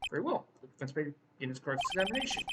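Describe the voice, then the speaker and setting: Older male judge for a visual novel, acted by a woman: deepened voice, mild, measured, hesitant formality. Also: sing-song, recorded from a distance, heavy background noise. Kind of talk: visual novel character reading.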